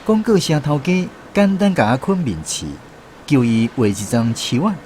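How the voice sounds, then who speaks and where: A man with a Taiwanese accent giving expressive, scripted storytelling narration for a radio drama. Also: on the high side, normal speed, a little hiss or hum. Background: music.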